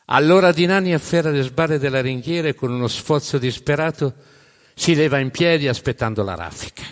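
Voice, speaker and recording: Guy doing dramatic live storytelling, big hall reverb, punches his words, long pauses and repeats stuff. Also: on the high side. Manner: emphatic, deliberate pauses, repeats phrases for effect. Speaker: narrator and storyteller on stage. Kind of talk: dramatic spoken-word storytelling, live narrative theatre.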